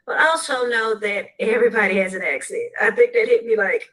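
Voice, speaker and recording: A woman from the southern United States answering interview questions, laying out her advice point by point in a measured way. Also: a little high, a bit bright, poor audio. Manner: measured, advice-giving, lists points in order. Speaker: guest speaker.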